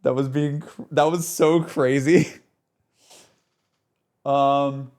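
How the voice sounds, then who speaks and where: A man's voice: medium pitch, steady tone, slow pace, darkish tone. A man livestreaming in a casual, slangy, repetitive way, talking to his chat and reacting off the cuff. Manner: slangy, repetitive, full of "like", "bro" and "dude". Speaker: streamer.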